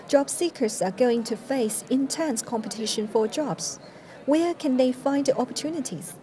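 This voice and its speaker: Mellow higher-voiced woman reading news. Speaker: news reporter narrating. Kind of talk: scripted news narration.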